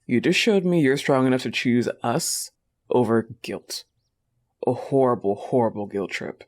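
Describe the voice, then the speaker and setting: A man voicing a possessive but tender young goth wolf girlfriend, soft-spoken, in an intimate audio roleplay. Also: medium pitch, animated, measured pace, close to an everyday voice. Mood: proud, tender.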